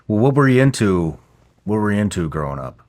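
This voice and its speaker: A man's voice: lowish voice, very animated, normal speed, gravelly, darkish tone. A man hosting a podcast interview who reacts with brief interjections and short questions. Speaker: podcast host. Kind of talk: casual interview.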